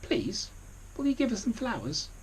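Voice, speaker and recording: A man's voice: very high voice, very animated, normal speed, creaky, slight background noise, pitched above the performer's own voice, full character voice, poor audio. A man voicing polite, plucky little wolves in a storybook, in a singsong manner. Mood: polite pleading.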